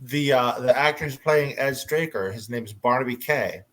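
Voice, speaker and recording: Male panel moderator, mid-pitched everyday voice, chatty and enthusiastic fan delivery. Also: moderate pace, fairly bright tone. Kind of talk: casual panel chat.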